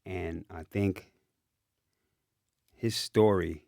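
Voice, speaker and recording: A man's voice: low-pitched, steady tone, very slow, vocal fry, darkish tone, no room sound, clean studio sound. A man hosting a podcast in casual chat, emphatic and enthusiastic, repeating phrases for emphasis. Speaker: podcast host.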